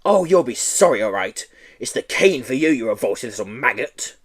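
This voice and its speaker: A man's voice: medium pitch, big pitch swings, normal speed, breathy edge. A man voicing a cruel, snappish older woman teacher who barks and sneers in a children's storybook. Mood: angry, sneering. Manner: barking, sneering, scolding. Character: harsh, thin grey-haired woman teacher, cruel and snappish. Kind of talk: children's audiobook character reading.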